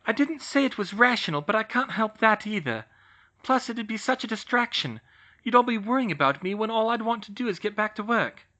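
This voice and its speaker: Brief: an overworked young witch, loud, high and strained, swinging wildly in pitch. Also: expressive, fairly quick. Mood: distraught. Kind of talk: audiobook reading of fan fiction. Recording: slightly muffled recording.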